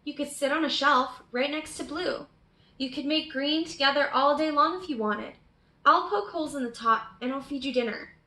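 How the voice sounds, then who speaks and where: A small, fearless storybook girl, read by a woman, talks in a high, bright voice. She is polite and coaxing, with a roomy echo around her. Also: moderate pace, recorded from a distance. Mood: polite, hopeful. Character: small fearless girl color tamer, wild but polite. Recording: roomy, echoey sound.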